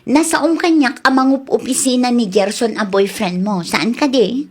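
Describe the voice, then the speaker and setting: Skeptical, teasing female friend played by a woman, husky edge, comedic radio-drama delivery. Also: a little high, moderate pace, a little mellow, close to an everyday voice.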